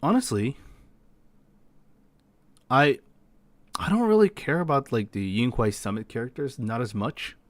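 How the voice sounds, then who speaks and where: A man's voice: medium pitch, expressive, unhurried, pauses often, dry recording. A man hosting a casual gaming tier-list commentary, explaining things in a chatty, rambling way. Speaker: host of a tier-list video.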